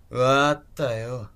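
An actor playing a laid-back, teasing oddball high school boy in an audio drama, with a playful drawl. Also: a bit bright, full character voice.

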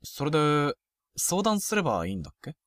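A man playing a frank, proud, sporty first-year high school boy in a Japanese youth romantic comedy voice drama. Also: huge pitch swings, brisk, dry recording, studio quality. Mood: casual, reflective.

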